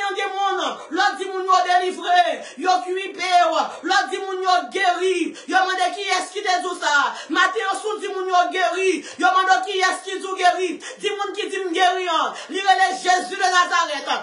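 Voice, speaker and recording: A preacher, shouting a fervent sermon, chanting and breaking into song. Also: extremely high pitch. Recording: echoey room, far from mic.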